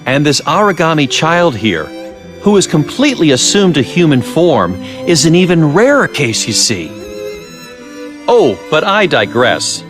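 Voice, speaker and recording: An actor playing a genial, sly middle-aged scientist in a video game, talking in a smooth, professorial, theatrical way. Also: male voice, fairly high pitch, very animated, a little slow, over music. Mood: lecturing, intrigued. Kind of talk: video game cutscene acting, expository dialogue.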